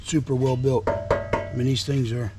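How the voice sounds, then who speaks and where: A man with a hoarse, worn voice from being sick, casually showing off truck parts with folksy enthusiasm and lots of repeats. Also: mid-range voice, level tone, unhurried, loud music behind the voice. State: hoarse from illness. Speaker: trucking vlogger. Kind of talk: casual product show-and-tell. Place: outdoors at a house.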